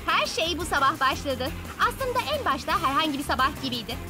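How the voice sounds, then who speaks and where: A woman's voice: high-pitched, very animated, brisk. An actress playing a confident, cheerful young girl in a children's cartoon dub, perky and emphatic. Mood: excited, narrating. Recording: music bed under the voice.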